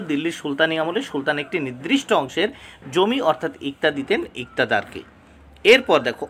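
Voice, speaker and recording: Higher-voiced male tutor with lively intonation. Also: breathy edge. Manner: didactic, announces each item and repeats key terms. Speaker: history exam tutor. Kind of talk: exam lesson, reading questions and model answers aloud.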